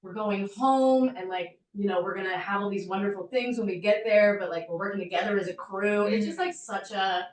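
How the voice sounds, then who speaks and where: A woman's voice: medium pitch, a little fast, hardly any pauses. A woman from a shanty singing group talking in a casual interview, chatty and eager, with many "like"s. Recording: echoey, from far off the mic.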